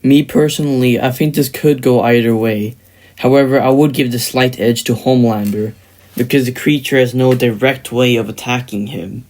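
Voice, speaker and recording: A man's voice: mid-range voice, fairly even intonation, normal speed, darkish tone. A man narrating a scripted commentary video in the style of an explainer on fictional match-ups.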